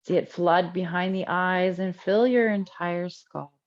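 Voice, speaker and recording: A woman teaching a guided breathing meditation in a calm, unhurried way with long pauses between instructions. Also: fairly low pitch, lo-fi sound.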